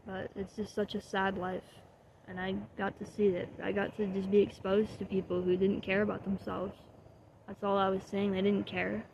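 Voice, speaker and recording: Earnest young woman with a gravelly voice. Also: mid-range voice, flat delivery, moderate pace, a little hiss or hum, poor audio. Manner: earnest and heartfelt, swearing, trailing off with soft laughs. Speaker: vlogger. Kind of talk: candid motivational monologue.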